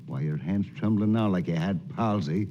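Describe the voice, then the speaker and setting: A man's voice: sits low, muffled recording, natural voice. An actor playing a menacing, sneering outlaw boss who taunts his men in a 1940s radio western. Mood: taunting. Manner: slow, taunting. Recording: faintly noisy 1940s radio recording.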